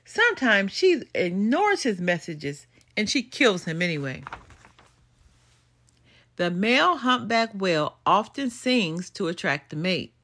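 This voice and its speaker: An older woman reading a children's nonfiction book aloud as a storytime host, in an expressive, deliberate way. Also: medium pitch. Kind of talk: storybook read-aloud narration.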